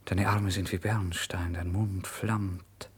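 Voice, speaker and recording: A man playing a starving, proud young writer in a literary radio drama monologue, theatrical and volatile, swinging between hushed and exclaiming delivery. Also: sits low, flat delivery, slow pace, breathy edge, heavily acted. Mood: delirious, rapturous. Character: starving, feverish, proud young male writer, giddy and erratic.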